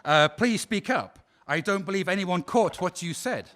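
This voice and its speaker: A man's voice: high-pitched, expressive, a bit bright. A man playing a sarcastic prosecutor. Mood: cold. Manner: probing, sarcastic cross-examination, measured and formal. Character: sharp, sarcastic prosecuting officer at a war crimes trial, male. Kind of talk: audio drama acting.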